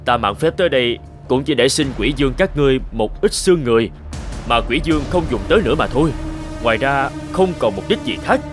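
A man's voice: medium pitch, expressive, fast, faint music behind, natural voice. A man voicing a brave, earnest young god, a resolute and respectful cartoon hero. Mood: placating.

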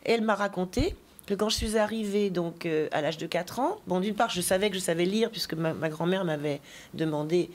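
Chatty woman poet, full of asides. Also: fairly low pitch. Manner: digressive, chatty storytelling with asides; slow, deliberate poetry recitation. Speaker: poet and teacher, interview guest. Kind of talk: interview talk and poetry reading.

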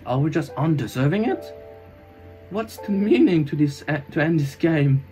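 A man acts out an anguished young swordsman in an anime fan drama. His voice sits fairly high and moves slowly, with halting, stilted English and heavy melodrama. Music runs underneath. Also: lively intonation, dark tone, low-quality recording. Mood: grieving. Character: anguished devoted young swordsman, husband and father.

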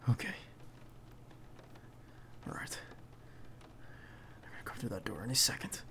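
A man playing a protective, earnest bodyguard in an ASMR roleplay, speaking haltingly and insistently straight to the listener. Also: medium pitch, pushed and bright. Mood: hushed, tense. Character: protective, earnest young male bodyguard to a crime boss. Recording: clear background noise under the voice.

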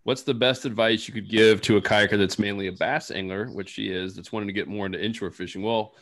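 A man's voice: lowish voice, steady tone, fast talker, fairly bright tone. A young man hosting a casual fishing panel over a video call, playful and joking as he moves between questions. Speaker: host.

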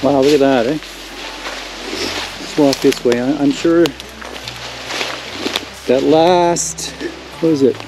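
An older man strolls through the woods and talks to his viewers in an easygoing, meandering way, stopping to point out plants and tracks. His voice sits at an ordinary middle pitch, and music plays clearly behind him while he is outdoors. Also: takes it slowly, pauses often, rough recording. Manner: relaxed, rambling, pointing things out as he walks. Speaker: homesteader vlogger. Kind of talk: casual walking narration.

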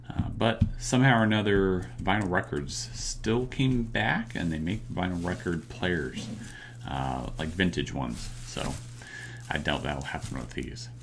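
A middle-aged man with a fairly low voice and plenty of fry talks through a hands-on task casually, as if thinking aloud. He sounds like a video host at a table indoors, with some noise in the recording. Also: fairly bright tone. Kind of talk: casual hands-on teardown commentary. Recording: noticeable background noise.

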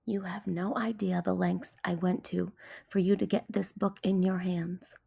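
A woman hosting a children's story time, reading aloud in an expressive, deliberate storytelling delivery. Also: lowish voice, creaky, breathy edge. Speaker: storyteller, host of a read-aloud show.

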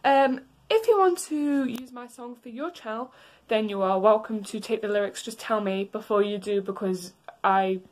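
A woman's voice: fairly high pitch, moderate pace, hardly any pauses, darkish tone. A young woman chats informally into a phone in a small bedroom. She rambles and hesitates as she goes, like an amateur vlogger explaining things to viewers. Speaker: amateur cosplayer and songwriter. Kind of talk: casual vlog chat. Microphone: phone at arm's length.

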